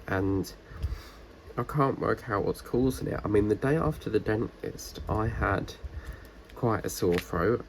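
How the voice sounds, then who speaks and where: A man, a diamond painting vlogger, rambling casually with lots of fillers while his voice is croaky and congested from a cold. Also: lowish voice, a little hiss or hum, rough recording. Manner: rambling, chatty, many fillers and restarts. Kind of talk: casual chat while crafting.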